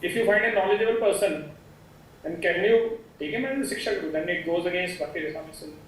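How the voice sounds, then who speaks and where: A high-pitched male student with an Indian accent asks a halting question in class. He sounds distant from the microphone, in a heavily echoing room with faint noise.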